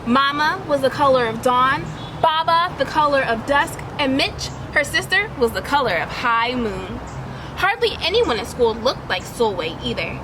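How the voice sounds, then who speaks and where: A woman with a high, very lively voice reads a children's story aloud in an instructive teacher's way. She addresses young listeners directly and shapes the narration expressively. There is clear background noise, like street ambience. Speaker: teacher reading a picture book aloud.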